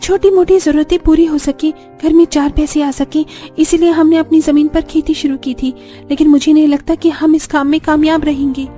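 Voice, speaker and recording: A weary young cartoon farm wife with an extremely high, soft-toned voice, played by a woman. She laments plaintively about a hard village life, discouraged and worn down, over music. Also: flat delivery, moderate pace, natural voice. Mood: worried. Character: weary, discouraged young farming daughter-in-law in a poor village.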